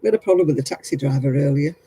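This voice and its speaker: A man's voice: fairly high pitch, very fast, mellow, slightly rough, rough recording. A man chatting casually with viewers while crocheting on a livestream, self-mocking and laughing often. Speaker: craft livestream host.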